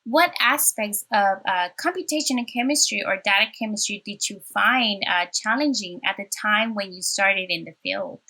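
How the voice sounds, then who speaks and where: A woman's voice: mid-range voice, moderate pace, few pauses, sharp tone, rough recording. A woman interviewer putting prepared questions to a guest in a conversational way over a video call.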